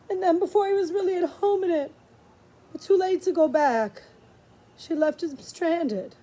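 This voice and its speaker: Female story narrator, high mellow voice, dramatic and emotive delivery, lingering pauses. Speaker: audiobook reader, librarian.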